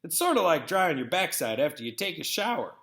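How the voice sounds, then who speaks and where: A man's voice: on the high side, very animated, a little fast, vocal fry, fairly bright tone, echoey room, exaggerated voice. A man voicing a huge, easygoing, teasing older brother, a rural young man, in a storybook reading. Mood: bossy, casual. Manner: casual, teasing.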